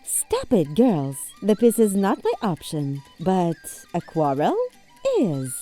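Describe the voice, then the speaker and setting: A woman's voice: on the low side, sing-song, slow pace, warm tone, soft background music, full character voice. A woman playing a sly, bold young bride who cajoles and schemes in a cartoon fairy tale. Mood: bossy.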